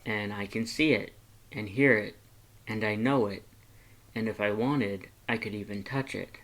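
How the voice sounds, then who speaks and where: A man voicing a laid-back, gentle skeleton monster with a casual, understated way of talking, in a storybook reading. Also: lowish voice, unhurried. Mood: patient, earnest.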